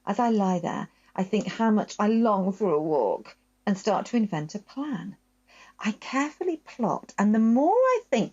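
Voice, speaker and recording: A woman reading a children's story aloud in a gentle, expressive storytelling voice, telling it in the first person as a dog. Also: mid-range voice, highs cut off.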